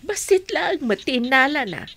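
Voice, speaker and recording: A woman playing a gentle, caring mother of a grown son in a radio drama. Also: high-pitched, big pitch swings, measured pace, heavily acted. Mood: defensive.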